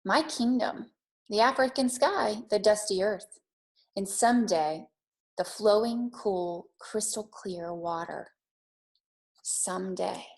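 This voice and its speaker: A woman's voice: medium pitch, takes it slowly, vocal fry. Female teacher for a kids' read-aloud and lesson, simple, encouraging, child-directed delivery. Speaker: teacher reading aloud and setting a challenge for young children.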